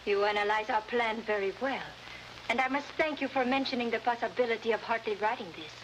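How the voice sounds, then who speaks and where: A woman playing a cool villainess from a 1950s spy adventure. Her voice sits fairly high and she speaks in composed, formal phrases with calculated deliberation. Clear hiss runs through the vintage recording. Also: brisk, lightly acted. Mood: cool menace. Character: cool, scheming female political conspirator. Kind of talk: 1950s American adventure drama acting. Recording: noisy, hissing vintage TV soundtrack.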